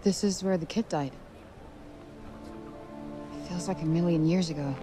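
A woman's voice: lowish voice, moderate pace, mellow, faint music behind, close to an everyday voice. An actress playing a sardonic young New Yorker woman in a video game, with an American accent and blunt, slangy delivery. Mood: grieving. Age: young adult. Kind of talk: video game cutscene acting.

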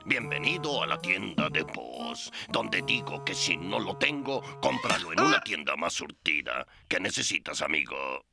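An actor voicing a sly, cowardly buzzard crook in a dubbed cartoon, theatrical and excitable. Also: male voice, sits low, a little slow, gravelly, few pauses, heavily acted. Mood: slick, scheming. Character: scheming, cowardly male buzzard crook, swindling shopkeeper. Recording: cartoon music under the voice.

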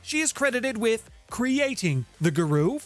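A man's voice: very high-pitched, very animated, unhurried. A man in his thirties presenting an explainer to camera in an emphatic way, stretching key words for weight, on a close studio microphone in a home room. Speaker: host of a tabletop game lore channel. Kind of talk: explainer to camera, semi-scripted narration. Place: small home music room, indoors. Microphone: close studio condenser microphone with shield. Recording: music playing under the voice. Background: background music.

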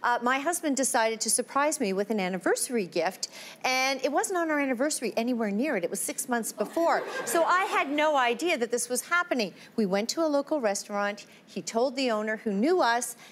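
Animated woman telling a story on a TV panel. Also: on the high side, brisk, a little hiss or hum. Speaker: talk show co-host. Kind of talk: casual chat, anecdote. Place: TV studio with live audience. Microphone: lapel mic. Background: studio audience.

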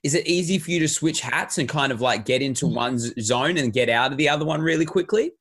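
A man's voice: fairly high pitch, fast talker, a bit bright, breathy edge. A man hosting an interview from his home studio on a studio mic, asking long wandering questions full of "you know" and restarts. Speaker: podcast host. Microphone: studio microphone with headphones.

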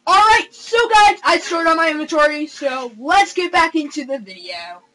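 A young man hosting a console game let's play from his room, excitable and gasping, with hesitant fillers. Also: extremely high pitch, moderate pace, poor audio. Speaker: gaming channel host. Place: home room with console screen.

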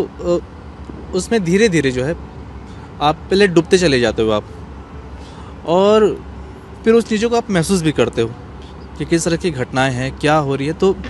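A man in his thirties giving a serious, explanatory interview answer in short, measured phrases. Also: a little high. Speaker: interview guest, cartoonist. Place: outdoors in an open courtyard. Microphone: handheld small microphone. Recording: faint outdoor street background noise.

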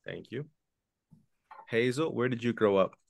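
Slow, patient man teaching English. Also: mid-range voice, lively intonation, gravelly, dry recording. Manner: patient, repeats words slowly for students. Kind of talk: online English lesson, explaining in English and Spanish. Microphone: video call webcam.

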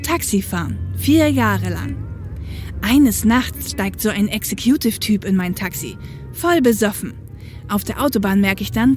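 A woman's voice: fairly high pitch, a little mellow, natural voice. A woman playing a tough, upright, street-wise policewoman in an audio drama, speaking matter-of-factly. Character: tough, upright working-class policewoman and ex-biker, grown woman. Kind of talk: German audio drama acting.

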